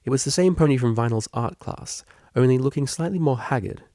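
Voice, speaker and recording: A man reading scripted fiction aloud as a narrator, with a creaky voice. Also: medium pitch, a little fast, dark tone, no echo, clean studio sound. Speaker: audiobook reader.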